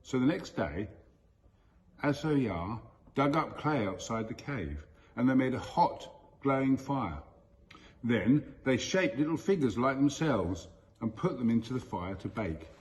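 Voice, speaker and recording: A man reading a children's story aloud in a deliberate, expressive way with storyteller pauses. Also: medium pitch. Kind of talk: scripted storybook narration.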